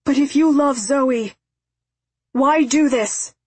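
Woman acting a desperate, breathless pleading father, pitched high. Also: fairly even intonation, moderate pace, breathy edge, no room sound. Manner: pleading, breathless, repetitive outcries. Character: desperate, terrified, tearful father pleading for forgiveness. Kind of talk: audiobook character acting.

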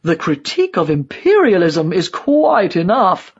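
An old East German propagandist played by a woman reading an audiobook, stubborn and quick to anger. He speaks with emphatic scorn and sarcasm in a husky voice. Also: fairly low pitch, big pitch swings, lo-fi sound, full character voice. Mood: defiant. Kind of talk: dramatised audiobook dialogue.

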